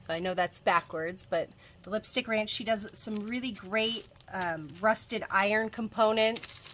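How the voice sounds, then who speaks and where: A woman's voice: medium pitch, measured pace, a bit bright, a little hiss or hum. A woman in her thirties hosting a casual live stream from a cluttered office, a bit congested from a cold and chatty with lots of ums. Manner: chatty, many ums and restarts. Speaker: bead shop video host. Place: cluttered office workroom, indoors.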